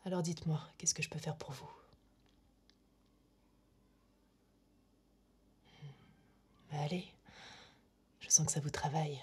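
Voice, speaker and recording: An actress playing a menacing, eerily calm young witch in a film monologue, speaking in a slow, deliberate, breathy hush. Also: low voice. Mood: taunting, calm. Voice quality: breathy, hushed near-whisper. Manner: slow, deliberate, intimate, taunting.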